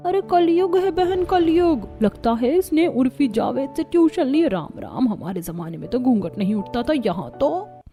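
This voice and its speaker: A woman's voice: high-pitched, very animated, normal speed, mellow, soft background music. A woman voicing gossiping older village neighbours in a cartoon story, catty and disapproving. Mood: mocking, scandalised. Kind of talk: Hindi cartoon story dialogue.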